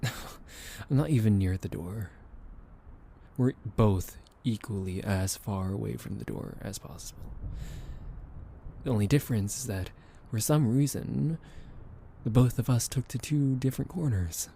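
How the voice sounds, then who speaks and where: A young man talks close to the mic in a soothing, teasing ASMR roleplay, slow and unhurried. His voice is warm and mellow with a gravelly edge and an occasional soft whisper. A faint hiss sits behind him. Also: fairly low pitch. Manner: gentle, teasing, soothing, with soft laughs and hesitant fillers. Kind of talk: soft ASMR roleplay, boyfriend comforting listener.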